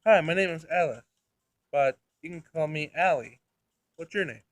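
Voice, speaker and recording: A man's voice: on the high side, normal speed, no echo, natural voice. Visual novel child, read by a man: young girl, inquisitive and direct, simple childish phrasing. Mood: curious. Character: curious, blunt seven-year-old girl.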